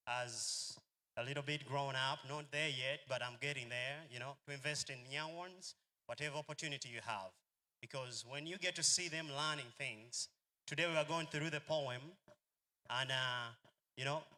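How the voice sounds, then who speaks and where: A man's voice: medium pitch, sharp tone. A man, speaking to a convention crowd in a rambling, storytelling way with many fillers. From Uganda. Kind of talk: informal speech to a crowd.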